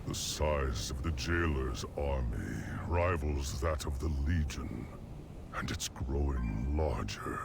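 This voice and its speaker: A man playing a grave warrior scout in a fantasy game cutscene, speaking in a measured, solemn way. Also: rumbling low, creaky, heavy background noise, full character voice. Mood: grave, wary.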